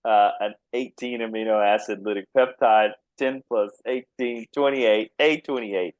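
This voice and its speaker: A man's voice: fairly low pitch, fairly even intonation, measured pace, no echo. Easygoing laughing Texan man. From Texas. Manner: laughs often, easygoing and earnest. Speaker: biotech company founder, guest. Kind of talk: conversational interview answers.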